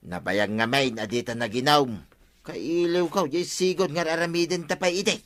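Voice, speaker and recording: Actor as a forceful, wheedling Ilocano village husband. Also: male voice, fairly high pitch, moderate pace, close to an everyday voice. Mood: coaxing, chummy. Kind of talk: Ilocano radio comedy drama acting.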